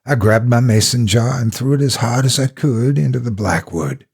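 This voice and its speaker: Husky male horror storyteller, dramatic delivery. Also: mid-range voice, normal speed, darkish tone. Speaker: horror story narrator and host.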